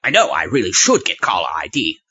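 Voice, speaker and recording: A man's voice: sits low, huge pitch swings, brisk, very noisy. A man playing a prissy, exasperated mission leader with a fussy, melodramatic manner in a comedic audio drama. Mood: apologetic, patient. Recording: muffled lo-fi sound under loud music.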